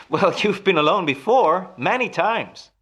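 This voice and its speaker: A smooth radio-melodrama husband played by a male actor, with a very lively, swooping delivery. He soothes and coaxes in a patronizing way, with quiet menace under the concern. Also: high-pitched, slow, exaggerated voice. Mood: soothing concern. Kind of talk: old-time radio melodrama acting.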